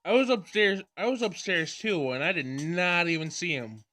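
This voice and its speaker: A man's voice: high voice, normal speed, sharp tone. A man doing a let's play of a visual novel, reading dialogue aloud with casual commentary and stumbling over words as he goes. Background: game music.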